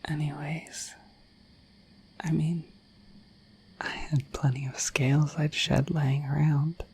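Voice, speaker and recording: A woman voicing a shy, friendly dragon in a breathy whispered ASMR roleplay, rambling gently and hesitantly to the listener. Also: deep voice, little pitch movement, takes it slowly, heavily acted. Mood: musing, gentle. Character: shy, friendly, dorky dragon in humanoid form.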